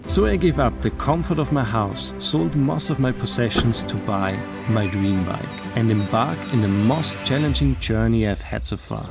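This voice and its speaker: Gravelly man with an Austrian accent. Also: mid-range voice, moderate pace, few pauses. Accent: Austrian German. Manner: earnest, steady storytelling. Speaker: travel vlogger. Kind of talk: reflective voice-over narration. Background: background music.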